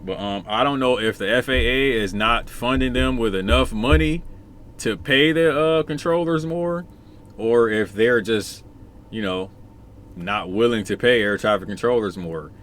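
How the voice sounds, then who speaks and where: A man's voice: mid-range voice, measured pace, fairly bright tone. An American man giving casual commentary, repeating his phrases for emphasis. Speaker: commentator, former air traffic controller. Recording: noticeable background noise.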